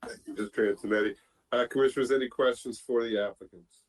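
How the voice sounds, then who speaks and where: A man's voice: medium pitch, fairly even intonation, fast talker. A man presiding as chair over a public board meeting, moving through procedure in an orderly but informal way.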